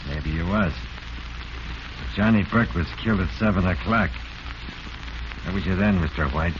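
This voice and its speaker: An actor playing a gruff, sardonic homicide lieutenant in a 1940s radio drama, curt and slangy. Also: male voice, deep voice, normal speed, lightly acted. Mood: sardonic, accusing. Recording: muffled, noisy vintage radio recording.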